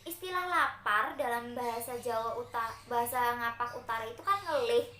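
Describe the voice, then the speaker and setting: Young woman from central java, high bright voice, explanatory student presentation, echoey room, faint background noise. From Central Java, Indonesia. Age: young adult. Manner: explanatory, addresses classmates, frequent hesitation fillers. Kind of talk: class presentation.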